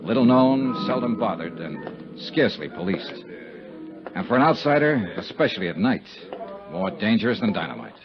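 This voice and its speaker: Tough sardonic private investigator, old radio noir, animated delivery, music under it, muffled vintage sound. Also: male voice, lowish voice, normal speed. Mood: tense narration. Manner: clipped, hard-boiled, sardonic. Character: tough, wry, impatient American insurance investigator. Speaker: lead detective and narrator. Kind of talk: 1950s radio noir acting and narration. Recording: dull vintage 1950s radio recording.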